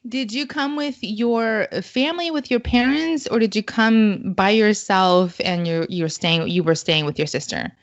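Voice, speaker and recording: A woman's voice: medium pitch, unhurried, hardly any pauses, lo-fi sound. A woman hosting a podcast interview, speaking with enthusiastic, effusive praise. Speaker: podcast host.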